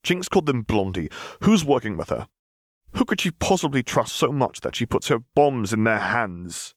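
A man's voice: medium pitch, sing-song, normal speed, no room sound, studio quality, voice pushed up. A man voicing a stern, frustrated female sheriff in an audiobook, insistent and questioning. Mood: pressing. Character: stern, frustrated woman sheriff, pressing for answers.

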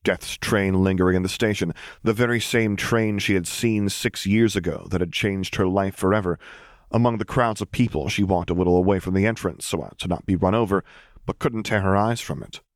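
A male narrator reads a story in an even, measured way. His voice is very low, and the recording is dry and close. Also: dry recording. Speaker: audiobook reader. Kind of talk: audiobook narration.

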